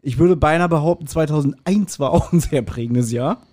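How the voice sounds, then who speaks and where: A man's voice: fairly high pitch, moderate pace, dark tone. A middle-aged man, co-hosting a podcast in casual conversation. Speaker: podcast co-host. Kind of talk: casual chat.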